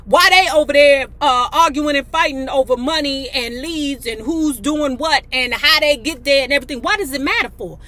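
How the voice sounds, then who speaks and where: A woman's voice: high-pitched, moderate pace, long pauses, sharp tone. An American woman in her fifties hosting a video, reading aloud and commenting in a blunt, sassy, casual way. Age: 50s. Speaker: video host. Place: inside a parked car.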